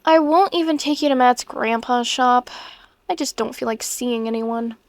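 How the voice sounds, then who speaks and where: A sulky, earnest teenage schoolgirl heroine, acted by a woman for a comic dub. The voice is high and youthful, with expressive delivery. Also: normal speed, natural voice. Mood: moody. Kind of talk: comic fandub voice acting.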